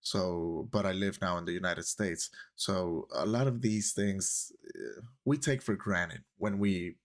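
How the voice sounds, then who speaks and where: Man, 30s, Puerto Rican accent, low-ish voice; relaxed, rambling to-camera vlog talk. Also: unhurried. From Puerto Rico. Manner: chatty, rambling, switches into Spanish phrases. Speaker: YouTube creator.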